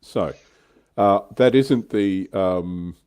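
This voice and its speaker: Man, low pitch, very mellow tone, offhand livestream host, informal hobby talk. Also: very slow, clear, resonant tone. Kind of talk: casual hobby chat.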